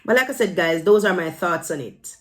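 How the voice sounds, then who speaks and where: A woman's voice: fairly low pitch, animated, a little fast, fairly bright tone. A woman from Jamaica with a Jamaican accent, talking casually to her viewers in an emphatic, admonishing way and slipping into Patois phrases. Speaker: vlogger giving her opinion. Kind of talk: casual opinion talk to camera.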